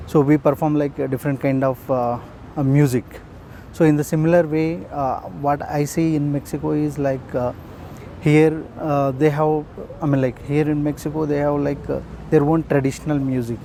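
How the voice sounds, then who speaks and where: A man's voice: a little high, level tone, moderate pace, gravelly, warm tone, a little hiss or hum. Hesitant Indian man, street interview. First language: Urdu. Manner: hesitant, many fillers.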